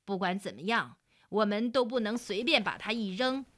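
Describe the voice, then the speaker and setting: A woman's voice: medium pitch, animated, moderate pace, a bit bright, lightly acted. A woman voicing a practical, hearty male workman in a children's storybook, exclaiming and decisive. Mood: pondering, decisive. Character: tall workman, alert, decisive, hearty with his mates.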